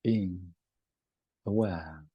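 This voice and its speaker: A man leading a guided meditation, speaking gently in short, halting phrases with long pauses between them. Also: deep voice, husky, dry recording, lo-fi sound.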